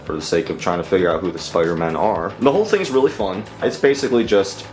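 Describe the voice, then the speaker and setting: Low-voiced man reviewing casually. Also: fast talker, a little mellow, soft background music. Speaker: comic book reviewer.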